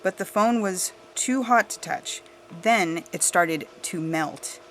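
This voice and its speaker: A woman performs a self-righteous suburban mother, gossipy and oblivious. She takes her time in a slightly low voice, indignant and suspicious, as in an audio story. There is faint noise behind her. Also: lively intonation, slow pace, poor audio. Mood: exasperated. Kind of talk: scripted audio fiction monologue.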